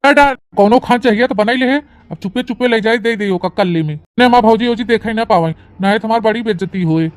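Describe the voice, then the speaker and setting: A woman's voice: fairly high pitch, fast talker, hardly any pauses, no room sound, close to an everyday voice. A woman voicing a henpecked young newlywed husband in a comedy cartoon, whiny and exasperated, with an Awadhi accent. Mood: flustered. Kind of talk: exaggerated cartoon comedy dialogue.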